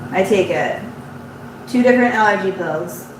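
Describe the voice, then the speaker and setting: A woman's voice: mid-range voice, normal speed, far from the mic. A woman running an embroidery shop chats casually on a live stream while she sews. She sounds congested and rambles, restarting her sentences often. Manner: chatty and rambling, with frequent restarts. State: congested, runny nose. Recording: very echoey room, voice off-mic, audible background hum.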